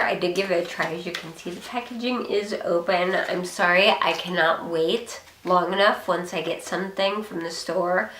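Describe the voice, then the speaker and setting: A young woman with a hoarse voice from a cold, chatting casually with many ums as she shows off her purchases. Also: lowish voice, fairly bright tone, roomy sound. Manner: chatty, rambling, frequent ums. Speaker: beauty vlogger. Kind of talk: casual product haul chat.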